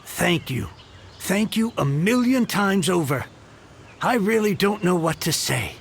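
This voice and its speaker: A man's voice: high-pitched, animated, unhurried, gravelly, slight background noise, full character voice. A man playing an anxious, easily flustered merchant in a video game, with a stammering delivery. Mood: grateful. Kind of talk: video game character acting.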